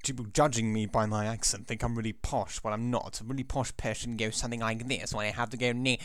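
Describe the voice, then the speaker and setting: A man's voice: medium pitch, fairly even intonation, fast talker, a bit bright, no room sound. An eighteen-year-old man with an English accent chatting casually at his computer, rambling with many ums and self-corrections. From England. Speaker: vlogger. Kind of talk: casual chat answering a questionnaire.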